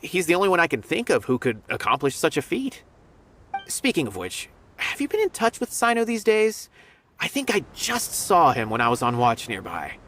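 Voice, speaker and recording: An earnest, animated young-sounding officer. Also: male voice, on the high side, normal speed, heavily acted. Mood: friendly. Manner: polite, storytelling. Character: earnest, courteous male law officer of an academy. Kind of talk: video game voice acting. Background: background music.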